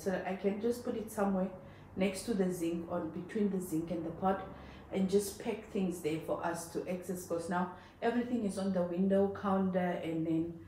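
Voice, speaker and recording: A Namibian woman chats to her viewers in a loose, rambling way as she works around the house. She sits at a middle pitch and is picked up from across the room by the camera. The sound bounces hard around the bathroom, with a faint hiss underneath. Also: warm tone. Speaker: vlogger. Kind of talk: casual home vlog chat. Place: tiled bathroom at home. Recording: distant, very echoey home room.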